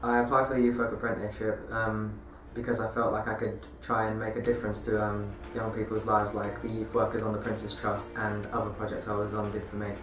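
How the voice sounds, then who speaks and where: A nineteen-year-old man, a youth work apprentice, answering interview questions hesitantly with many pauses. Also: on the low side, monotone, a bit bright. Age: young adult. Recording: roomy, mic far off, music behind.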